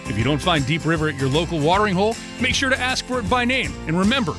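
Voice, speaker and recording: Quick, higher-voiced male radio ad presenter. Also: music in the background. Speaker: broadcaster presenting sponsor spot. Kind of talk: scripted radio ad read.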